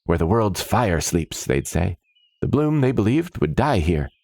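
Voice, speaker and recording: A man's voice: sits low, sing-song, unhurried, creaky, darkish tone, dry recording, clean studio sound, voice pushed up, exaggerated voice. A man voicing an eager, chirpy woman alchemist doctor in a fantasy story, speaking in precise, wordy scientific jargon. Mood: earnest, animated. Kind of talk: fantasy story character dialogue.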